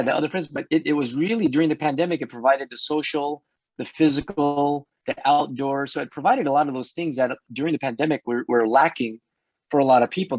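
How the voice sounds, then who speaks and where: A middle-aged man hosting an online health talk, chatty and hoarse, often restarting his words and laughing. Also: mid-range voice, darkish tone, no room sound. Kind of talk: casual panel chat. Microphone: video call. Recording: dull dry video-call audio.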